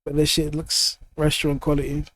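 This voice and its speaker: A man tasting dishes, chatting casually with quick interjections. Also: a little high, completely flat delivery, a bit bright. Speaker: taster. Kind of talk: casual chat.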